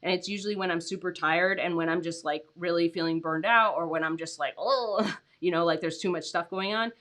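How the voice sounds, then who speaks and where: Tired, raspy-voiced woman host. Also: lowish voice, steady tone, a little fast, fairly bright tone. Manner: chatty, lots of "like" and "um", laughs often. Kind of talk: casual livestream chat.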